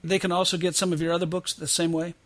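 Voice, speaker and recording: Wanted: male podcast interviewer, slightly high voice, conversational hosting style. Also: level tone, fast talker, fairly bright tone. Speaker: host and interviewer.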